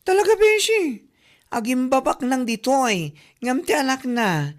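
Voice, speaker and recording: A woman's voice: high-pitched, animated, measured pace. A woman playing a gossipy, mocking coworker in a comedy drama, with an exaggerated Ilocano Filipino accent. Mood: mocking gossip.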